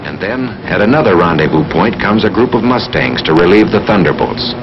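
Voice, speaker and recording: Low-voiced wartime narrator, very noisy track. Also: male voice, animated, brisk, dull audio. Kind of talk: scripted 1940s war documentary narration. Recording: noisy vintage film soundtrack, aircraft engine drone.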